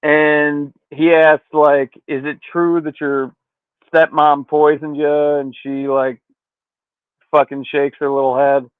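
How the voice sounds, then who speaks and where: A man's voice: fairly high pitch, little pitch movement, takes it slowly, clean tone, no room sound. A man hosting a podcast, casually recapping a story in slangy, profane language, with thin phone-like sound. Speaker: podcast host.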